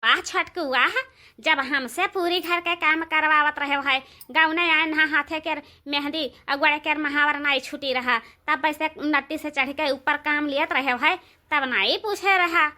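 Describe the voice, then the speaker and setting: A woman's voice: sits high, normal speed, bright, forceful tone. A woman voicing a bossy, scolding village housewife in a comedy cartoon, with an eastern Uttar Pradesh accent and emphatic, repetitive complaints. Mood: indignant scolding.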